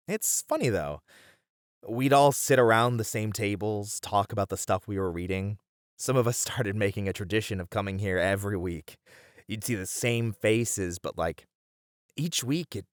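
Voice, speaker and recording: A man's voice: on the low side, dry recording, clean studio sound, close to an everyday voice. A man playing a friendly young bunny boy, a chatty and welcoming manga cafe regular, in a casual ASMR roleplay. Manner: chatty, easygoing, welcoming, with casual slangy hesitations.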